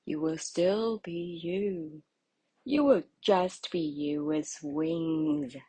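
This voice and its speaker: Lady doing an old wise owl for kids story, husky, takes its time, calm and soothing. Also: on the low side, no room sound, full character voice. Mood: reassuring. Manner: measured, soothing. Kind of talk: children's storybook read-aloud.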